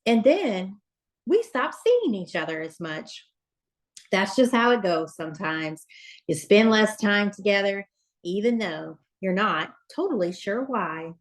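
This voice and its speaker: Animated woman reading a children's story. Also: mid-range voice. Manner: dramatic, deliberate phrasing with emphatic pauses. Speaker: storyteller, school principal reading aloud. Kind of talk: expressive children's picture-book read-aloud.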